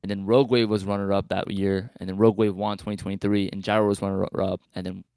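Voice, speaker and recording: A man's voice: deep voice, flat delivery, fast, darkish tone, no echo, clean studio sound. A man chatting casually as a panelist, tentative and rambling, often correcting himself mid-thought. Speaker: podcast panelist. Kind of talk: casual chat.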